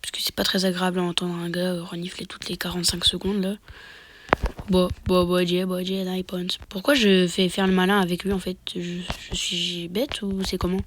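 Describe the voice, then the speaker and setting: A man's voice: high voice, completely flat delivery, normal speed, background noise. A man with a cold, sniffling as he casually commentates his own match into a phone mic, muttering half-whispered asides and mock boasts. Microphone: phone microphone.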